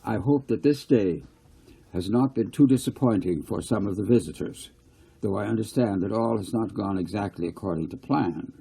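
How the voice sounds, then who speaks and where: A man's voice: lowish voice, normal speed, gravelly, warm tone, slightly rough, low-quality recording. A man voicing a formal, wordy government minister giving an awkward, placating speech in a storybook reading. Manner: stiff, wordy, placating officialese.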